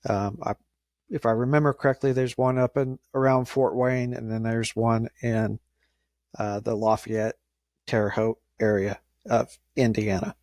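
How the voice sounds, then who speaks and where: A man giving informal interview answers as an emergency dispatcher, speaking in a measured, halting way. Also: medium pitch, little pitch movement, darkish tone, dry recording, clean studio sound. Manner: measured, hesitant, halting phrasing.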